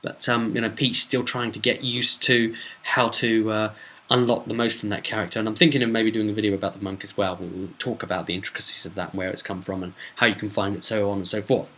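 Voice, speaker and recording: A man's voice: on the low side, flat delivery, quick pace, bright, forceful tone. A man hosting as a game master, casually recapping a tabletop session in a discursive way with loosely strung asides. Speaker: game master and video host.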